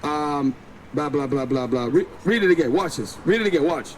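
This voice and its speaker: High-voiced man preaching on a loud street. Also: lively intonation, moderate pace, rough recording. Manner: insistent, instructs a reader to read. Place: city street outdoors. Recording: loud street traffic behind. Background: passing vehicles.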